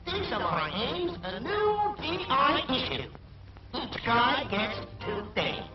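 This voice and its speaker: An actor playing a brash, whiny young army private who gripes in slangy rhyme in a 1940s wartime cartoon. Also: male voice, very high-pitched, sing-song, slow, heavily acted. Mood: bossy, boastful. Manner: complaining, slangy, sing-song rhyming delivery. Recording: dull 1940s film soundtrack, loud music.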